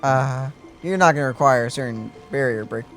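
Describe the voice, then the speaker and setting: A man co-hosting a game reaction video, playing and chatting in a relaxed, joking way. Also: medium pitch, normal speed, faint music behind. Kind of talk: casual reaction chat.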